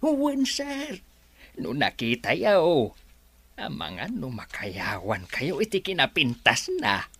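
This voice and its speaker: A man's voice: medium pitch, huge pitch swings, takes it slowly, sharp tone, slightly rough, full character voice. An actor playing a teasing male staffer at a resort in a radio drama. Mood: eager.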